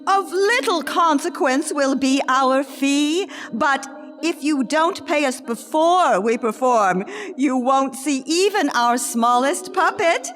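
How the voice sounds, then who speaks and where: Woman playing a slow, high-voiced shrewd charlatan showwoman. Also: animated, a bit bright, full character voice. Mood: wheedling, insistent. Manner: declamatory, deliberate. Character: shrewd, theatrical female charlatan and puppet-show director. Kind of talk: theatrical reading of a comic period play. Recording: reverberant hall, faint music.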